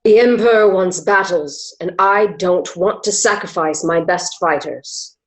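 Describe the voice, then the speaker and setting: A woman's voice: fairly low pitch, unhurried, natural voice, rough recording. A woman playing a shrewd, proud gladiator trainer in a dramatic script reading. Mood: indignant.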